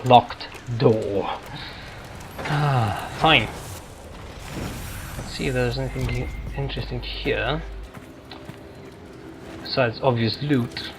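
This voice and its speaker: A man's voice: medium pitch, takes it slowly, pauses often, noisy background. A man giving casual, rambling commentary on his own video game playthrough, thinking aloud as he plays. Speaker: gamer narrating own playthrough. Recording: game music behind voice. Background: video game music.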